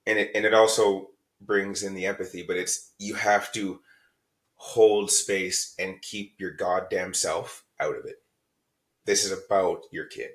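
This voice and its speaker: A man with a fairly low voice co-hosts a candid podcast chat. He speaks bluntly and with heat, swears freely, and lectures listeners like a parent who has had enough. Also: fairly even intonation, measured pace, a bit bright. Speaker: podcast co-host.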